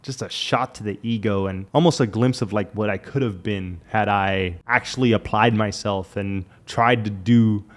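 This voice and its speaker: A man in his late thirties speaks to camera in a frank, reflective way, as if chatting with a friend. His voice sits a little on the low side, and his speech is loose and conversational, full of "like" as he works through his thoughts. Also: moderate pace, hardly any pauses. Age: 30s. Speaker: vlogger. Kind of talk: candid reflective monologue.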